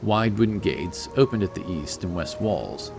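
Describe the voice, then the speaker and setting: Low voiced guy reading a fantasy story as narrator, music playing behind. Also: lively intonation, moderate pace, creaky. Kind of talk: scripted fantasy audiobook narration.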